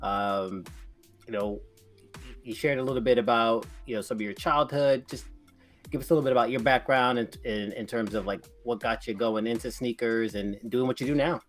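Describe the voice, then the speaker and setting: A man hosting a podcast, asking his guest questions in a relaxed, friendly way. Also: mid-range voice, normal speed, soft background music. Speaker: podcast host. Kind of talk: casual chat.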